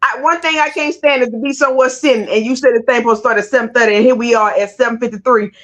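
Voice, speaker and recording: A woman's voice: a little high, steady tone, very fast, fairly bright tone. A woman hosting a casual livestream interview, talking with folksy, sassy banter. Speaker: show host interviewing guests.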